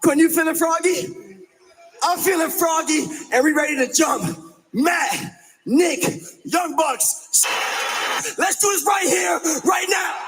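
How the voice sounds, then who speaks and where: A man cutting a boastful wrestling promo, shouting to the crowd in an arena. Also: very high voice, slow pace, soft background music. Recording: echoey arena hall.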